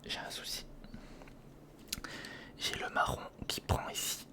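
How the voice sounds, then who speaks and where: A man's voice: slow, sharp tone. A man whispering informal ASMR commentary close to the microphone, murmuring step by step to himself and the viewer as he works. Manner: murmured, informal running self-talk addressed to the viewer. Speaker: ASMR video creator. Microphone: close recorder microphone.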